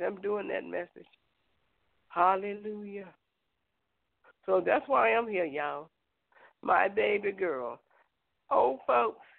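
A middle-aged woman hosting a prayer show, hoarse from a cold, chatting with listeners in a folksy, direct way. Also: mid-range voice. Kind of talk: casual prayer show chat. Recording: tinny phone line, dry.

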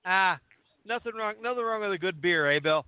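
A man co-hosting a radio show, interviewing casually in a halting, agreeable way and often repeating his phrases. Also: high-pitched, animated. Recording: narrow phone-like line.

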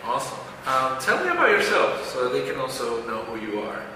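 Bright-voiced male teacher in an echoey room. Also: medium pitch, very animated, a little fast. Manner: clear, teacherly, explains terms. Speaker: online English teacher and host. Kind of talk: bilingual lesson intro and interview. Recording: echoey room, faint noise.

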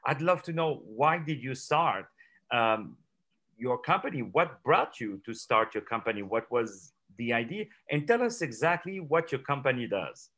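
A man's voice: mid-range voice, big pitch swings, moderate pace, fairly bright tone. A man hosting a radio interview, effusive and formal, greeting the audience like an announcer. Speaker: interview host.

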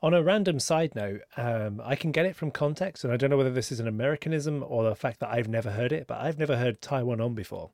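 A man's voice: mid-range voice, quick pace, hardly any pauses, a little mellow, no echo, clean studio sound. A man hosting an interview podcast, asking questions in a friendly, curious way. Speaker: podcast host.

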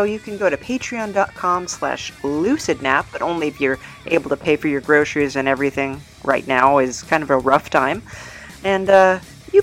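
A woman hosting a podcast, reading out credits and plugs in a casual, chatty, self-deprecating way with sing-song emphasis. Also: on the low side. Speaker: podcast host and producer.

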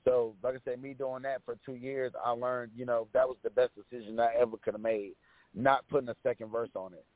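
A raspy-voiced man talks through his story at an easy pace, filling gaps with ums and restarts. The sound is tinny and narrow, like a phone line, and dry, with no room around it. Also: medium pitch, a little fast, no room sound. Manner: relaxed storytelling with many fillers. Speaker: rapper guest. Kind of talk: casual interview chat.

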